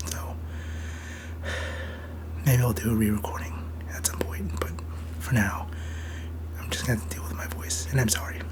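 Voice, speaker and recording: A young man with a hoarse, breathy voice from a sore throat, rambling casually through a series review and thinking aloud with many fillers. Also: medium pitch, steady tone, moderate pace, long pauses. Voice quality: breathy, half-whispered.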